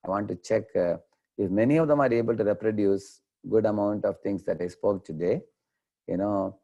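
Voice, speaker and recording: Man, indian accent, lecturer on a video call, deep mellow gravelly voice. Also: muffled recording. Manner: unhurried, explanatory, many "uh" pauses. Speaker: devotional lecturer. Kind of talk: online class Q&A.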